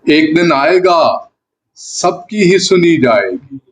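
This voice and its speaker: A man, a poet, reciting ghazal verse in a deliberate manner, repeating lines for emphasis. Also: a little high, very animated. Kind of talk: Urdu ghazal poetry recitation. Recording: clear background noise.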